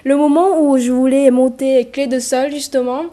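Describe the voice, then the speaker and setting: A woman's voice: high voice, fairly even intonation, normal speed, warm tone. A young woman, a musician and brand founder, answering interview questions hesitantly and earnestly. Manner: hesitant, many fillers, earnest. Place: TV studio.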